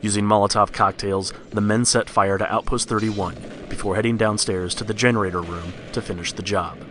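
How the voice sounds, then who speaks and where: A man's voice: fairly low pitch, steady tone, brisk, very noisy. A man narrating a scripted horror story in the style of a read-along storybook record.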